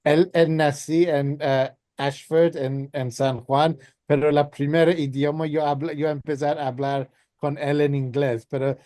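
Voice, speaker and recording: A man's voice: a little high, level tone, unhurried, dark tone, no room sound, clean studio sound. A man from England with an English accent, a native English speaker, asking questions informally and tentatively from the audience at an academic talk.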